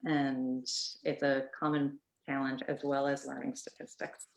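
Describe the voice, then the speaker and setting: A woman professor speaking on a webinar panel, animated and acting out what her students say. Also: rumbling low, measured pace, vocal fry, reverberant. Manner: animated, acts out students' quotes. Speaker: psychology professor.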